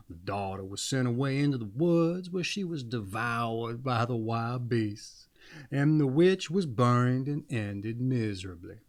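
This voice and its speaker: A man narrating a fairy tale as a storyteller, with dramatic, deliberate phrasing. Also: mid-range voice.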